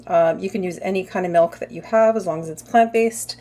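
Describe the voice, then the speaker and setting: A woman filming a casual cooking video in her home kitchen, chatty and full of little asides, her voice a bit hoarse. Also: on the low side, fairly quick, dark tone, a little hiss or hum. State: slightly hoarse. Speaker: cooking vlogger.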